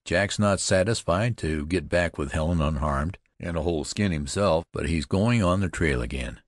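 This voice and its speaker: A man's voice: low voice, moderate pace, no echo. Man doing a bluff frontier colonel. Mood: hearty.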